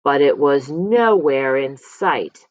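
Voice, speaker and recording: A woman reading a children's picture book aloud as a storyteller, expressively, with dramatic pauses and emphasis. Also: rumbling low, animated, no echo. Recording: slightly dull, lo-fi close recording.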